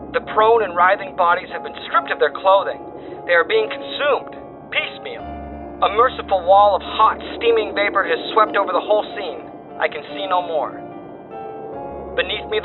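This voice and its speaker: Horror audio drama: man to play doomed male telegraph operator, solemn, formal, dread-bound, slightly high pitch, tinny radio filter. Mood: horrified frenzy. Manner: solemn, theatrical, formal phrasing. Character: doomed male wire operator reporting horrors, terrified yet compelled. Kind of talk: dramatic horror story dispatch reading. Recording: thin phone-like radio band. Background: music.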